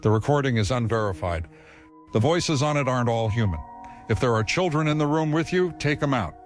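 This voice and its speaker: A man's voice: medium pitch, lively intonation, fairly quick, soft background music, lightly acted. A man playing a serious local news anchor, delivering scripted breaking news formally in a horror audio drama. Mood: grave, urgent.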